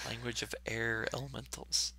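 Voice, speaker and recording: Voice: medium pitch, little pitch movement, moderate pace, sharp tone, slightly rough. A player in a tabletop game, chatting casually and making wry, teasing remarks.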